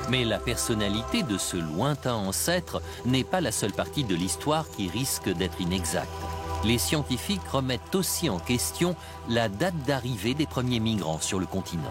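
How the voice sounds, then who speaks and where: A man narrating a documentary in a measured, explanatory scripted voice-over, recorded on a studio mic. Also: lowish voice. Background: background music.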